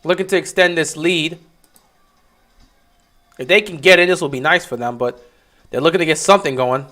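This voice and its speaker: Guy streaming a game, calling plays casual, kinda high voice, jumps up loud on big moments. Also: moderate pace, faint music behind. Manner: excitable, rising sharply on big plays. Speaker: livestream game commentator. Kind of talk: casual live play-by-play commentary.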